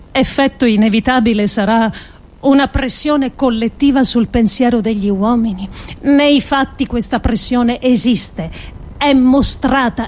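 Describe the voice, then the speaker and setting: A woman performing a solemn dramatic reading of an essay, with deliberate, emphatic phrasing. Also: a little high, a little mellow. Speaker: actress performing a radio monologue.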